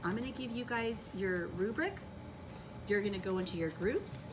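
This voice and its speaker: A woman teaching young children in a classroom, giving clear directions and checking understanding with short questions. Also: mid-range voice, normal speed. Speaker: elementary school teacher. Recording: distant mic in noisy classroom.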